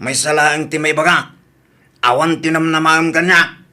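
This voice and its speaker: An actor plays an earnest Ilocano single dad in a radio drama. His voice is fairly high for a man and bright, with a forceful edge as he speaks to the people he loves. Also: steady tone, a little slow. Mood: firm, hurt. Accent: Filipino, Ilocano. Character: earnest male lover and single father, firm with exes.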